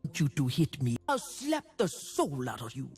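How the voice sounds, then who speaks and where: An actress voicing a fierce, confident warrior priestess who teaches harshly in bold, commanding video game lines. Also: female voice, extremely low pitch, wildly swooping pitch, moderate pace, darkish tone, breathy edge, soft background music, full character voice. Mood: defiant, solemn.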